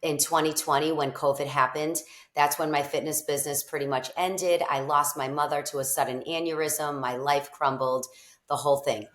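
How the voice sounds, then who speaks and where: A woman's voice: deep voice, steady tone, normal speed. A middle-aged woman from Boston with a strong Boston accent, talking as an interview guest in a bubbly, effusive way. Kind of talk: casual interview chat. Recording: echoey room.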